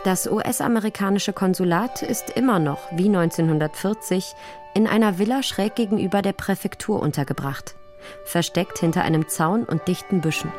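A woman's voice: fairly low pitch, moderate pace, darkish tone, faint music behind. A woman reading scripted narration as the narrator of a radio documentary.

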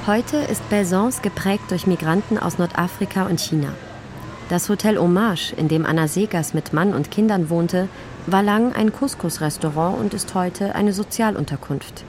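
A woman's voice: lowish voice, normal speed, darkish tone, a little hiss or hum. A woman narrating a radio documentary from a script.

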